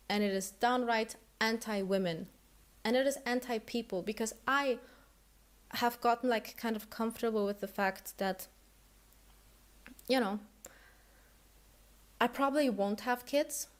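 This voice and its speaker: Blunt, indignant woman with roots. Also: fairly high pitch. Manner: blunt, indignant, swearing often. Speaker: guest speaking on stream. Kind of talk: heated monologue addressed to someone.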